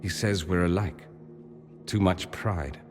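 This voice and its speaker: An actor playing a proud, sardonic, wounded young mage of noble birth in a video game, articulate and theatrical. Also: male voice, low-pitched, takes it slowly, a little mellow, in a large hall, faint music behind. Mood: hurt, subdued. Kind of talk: dramatic video game acting.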